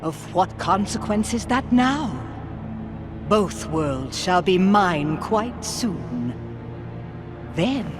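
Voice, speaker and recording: A woman voicing a haughty, imperious older evil sorceress in a video game, theatrical and grandly condescending, with archaic phrasing. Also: medium pitch. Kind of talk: video game cutscene voice acting.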